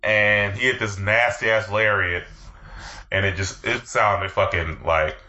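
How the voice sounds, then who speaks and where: A man with a fairly low, forceful, bright voice talks casually and swears freely. He recounts the action with enthusiasm. The audio sounds dull and lo-fi. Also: steady tone, measured pace. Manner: profane, enthusiastic play-by-play recounting. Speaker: podcast co-host. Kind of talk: casual chat recapping wrestling.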